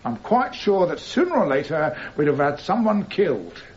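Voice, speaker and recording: A firm police commander under cross-examination, gives formal, defensive answers in a radio drama. His voice sits a little high and moves a lot in pitch, on a dull recording with some noise. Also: very animated, moderate pace, darkish tone. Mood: measured, firm. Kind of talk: radio courtroom drama acting.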